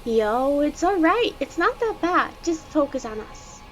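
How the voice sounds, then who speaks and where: A woman puts on an upbeat young monster kid for an audio drama. The voice is high and casual, laid-back and slangy, over light music. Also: sing-song, a little slow, poor audio. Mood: reassuring. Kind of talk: audio drama character acting.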